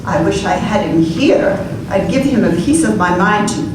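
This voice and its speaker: An actress playing a sharp-tongued, indignant mother in a live radio play, speaking with scorn and sarcasm. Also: mid-range voice, lively intonation, moderate pace, far from the mic, background noise, lightly acted. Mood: scornful. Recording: echoey live stage from afar.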